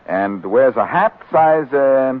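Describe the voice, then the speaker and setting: An actor playing a cocky, quick-witted amateur detective, dry and teasing, in 1940s radio drama style. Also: male voice, mid-range voice, lively intonation. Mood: needling. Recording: muffled, phone-like 1940s radio recording.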